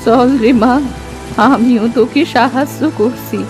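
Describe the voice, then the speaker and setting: A woman's voice: on the high side, fairly even intonation, a little slow, over music, lightly acted. A woman voicing a kindly, lonely old village woman, plaintive and motherly, in a cartoon folk tale.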